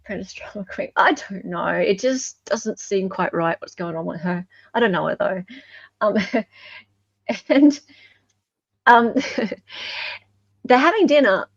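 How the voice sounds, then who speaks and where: A woman's voice: a little high, no echo. Woman chatting casually, dull lo-fi mic. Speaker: host of a true-crime commentary show. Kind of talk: casual chatty commentary on court evidence videos.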